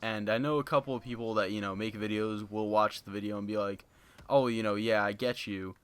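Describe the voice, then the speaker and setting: Flat-voiced young man rambling to viewers. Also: fairly low pitch, brisk, clear, resonant tone. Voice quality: flat, monotone. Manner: rambling, filler-heavy, many "you know"s. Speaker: gaming YouTuber. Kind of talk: casual unscripted commentary to viewers. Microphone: basic low-end home mic.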